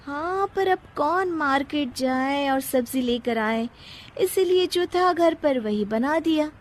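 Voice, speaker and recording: A woman's voice: sits high, expressive, takes it slowly. A woman voicing a lazy, cheeky young daughter-in-law in a cartoon family story, whiny and nonchalant.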